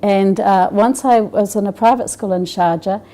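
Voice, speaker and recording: Soft-toned New Zealand woman, hesitant. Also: mid-range voice, normal speed. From Scotland, raised in New Zealand. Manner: hesitant, many fillers and restarts. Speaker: interviewee. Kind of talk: personal testimony.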